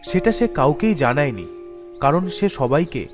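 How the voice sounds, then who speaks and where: A man narrating a scripted animated horror story. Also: a little high, moderate pace, music in the background.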